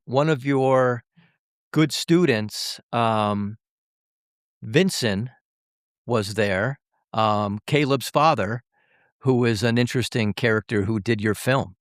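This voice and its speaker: A man hosting a podcast interview, speaking courteously in halting phrases with pauses. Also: medium pitch, no echo, clean studio sound. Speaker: podcast host.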